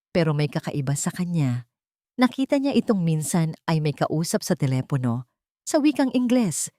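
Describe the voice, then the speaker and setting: Expressive low-voiced woman storyteller. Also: dry recording, clean studio sound. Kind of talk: dramatic scripted story narration.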